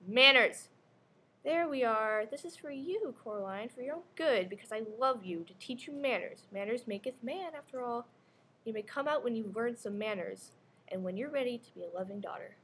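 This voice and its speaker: A woman's voice: on the high side, lively intonation, moderate pace, a bit bright. A woman playing a sinister, possessive mother in readers theater, archly formal and sugary as she coaxes and scolds. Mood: stern, possessive. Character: sinister other mother, sweetly possessive and controlling. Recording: faint background noise, live ambience.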